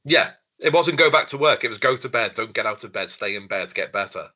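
A man's voice: medium pitch, animated, brisk, pushed and bright, dull audio. A man hosting a podcast, enthusiastic, with stammering restarts. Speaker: podcast host. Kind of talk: casual podcast chat.

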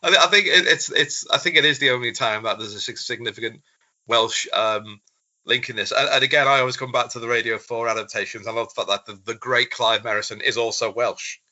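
Male podcast host, keen and stammering, bright tense tone, dull recording. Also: medium pitch, a little fast. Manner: enthusiastic, stammering restarts, acts out quotes. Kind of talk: casual podcast chat.

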